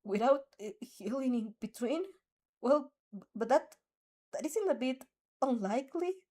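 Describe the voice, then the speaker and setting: A woman's voice: high-pitched, animated, unhurried, warm tone, pitched above the performer's own voice. A woman voicing a nervous, earnest teenage boy hero who stammers when flustered.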